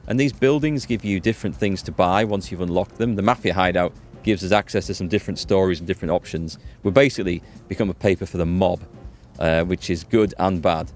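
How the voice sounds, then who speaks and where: Low-voiced man doing casual game commentary. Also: a little fast, darkish tone. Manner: thinking aloud, explanatory. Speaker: gaming video host. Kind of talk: casual let's play commentary. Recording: music behind the voice. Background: music.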